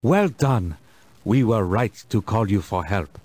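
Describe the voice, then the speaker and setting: Slow, deep, dignified village elder. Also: male voice, expressive, a little mellow, no echo. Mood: proud, warm. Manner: formal, measured. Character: kindly, dignified male village elder guarding treasures. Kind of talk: scripted children's game voice acting.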